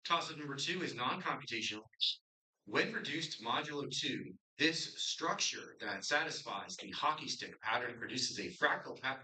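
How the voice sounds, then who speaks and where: A man's voice: medium pitch, lively intonation, moderate pace, bright, forceful tone, poor audio. A man from Connecticut moderating a student quiz competition, chatting loosely with fillers and then reading questions out steadily.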